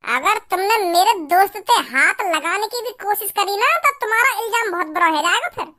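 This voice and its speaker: A performer voicing a brash, hot-headed young male cartoon troublemaker, shrill and blustering, with rustic slang. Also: lively intonation, a little fast, bright, forceful tone, exaggerated voice. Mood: threatening. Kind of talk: cartoon comedy dubbing.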